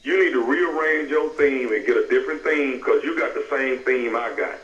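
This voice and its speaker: A man's voice: medium pitch, moderate pace, a bit bright, far from the mic, natural voice. A man playing a confrontational, slangy neighbour, repeating his accusations in an improvised prank phone call. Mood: insistent, threatening. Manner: insistent, repetitive, slangy. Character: confrontational male neighbour accusing someone of stealing decoration idea. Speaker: prank caller. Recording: muffled, faintly noisy, roomy phone line.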